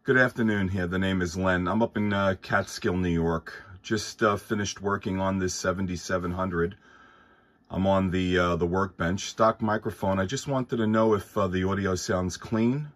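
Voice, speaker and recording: A man's voice: low voice, fairly even intonation, moderate pace, a bit bright. A man chatting casually and courteously as an amateur radio operator. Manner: courteous, thankful. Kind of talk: casual ham radio chat. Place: indoors at a workbench.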